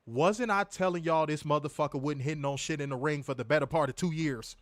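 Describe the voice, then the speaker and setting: A man's voice: a little high, fairly quick, no echo, studio quality. A man co-hosting a wrestling review, talking in a casual, profane and mocking way and laughing often. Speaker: co-host of a wrestling review show.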